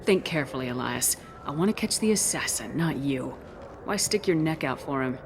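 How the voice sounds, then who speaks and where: A woman's voice: deep voice, animated, normal speed, background noise. A woman playing a tough, commanding military officer in a video game, speaking in a terse, firm and direct way. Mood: coolly threatening.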